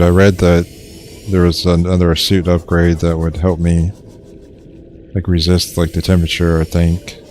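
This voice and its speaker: A young man casually commenting while he streams a survival game, in a low-key monotone, rambling with fillers and restarts. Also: a little hiss or hum.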